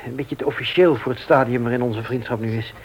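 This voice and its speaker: A man's voice: medium pitch, fairly even intonation, quick pace, close to an everyday voice, rough recording. A man playing a hesitant, earnest family friend in a radio play.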